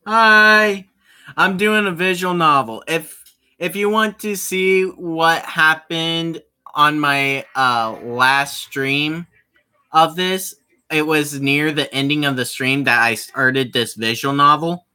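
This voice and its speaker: A streamer talking to viewers while working through a visual novel, in a very slow, drawn-out way. The voice is bright and clear. The talk rambles, doubles back on itself and breaks into quick apologies. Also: mid-range voice. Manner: chatty, rambling, with many restarts and apologies. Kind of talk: casual live-stream chat while playing a visual novel.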